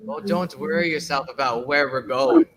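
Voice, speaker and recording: A man's voice: on the high side, moderate pace, fairly bright tone, lightly acted. A young man playing a pushy, folksy henchman in a 1930s radio play. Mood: bossy, menacing.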